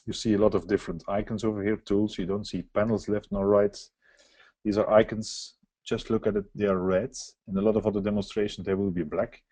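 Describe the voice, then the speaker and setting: Man for a webinar software walkthrough; explanatory, mellow tone, dry close sound. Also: lowish voice, husky, dry recording. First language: Dutch. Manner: explanatory, walks through on-screen steps. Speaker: software presenter. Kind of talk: live software demo webinar.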